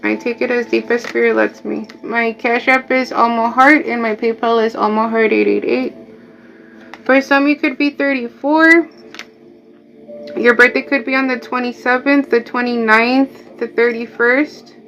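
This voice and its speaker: A woman's voice: a little high, fairly even intonation, a little slow, vocal fry, a bit bright, a little hiss or hum. A woman from Mexico with a Mexican Spanish accent, a native Spanish speaker, giving a chatty live tarot reading and drifting into asides. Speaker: tarot reader. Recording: dull lo-fi audio, faint music.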